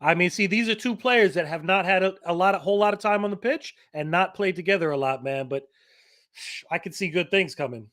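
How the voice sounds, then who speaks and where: A laid-back man with a slightly raised voice, chatting easily about the match. He speaks in a relaxed, conversational way and ends his lines with "man" again and again. His talk stays casual as he reacts to the play beside the host. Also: high-pitched, brisk.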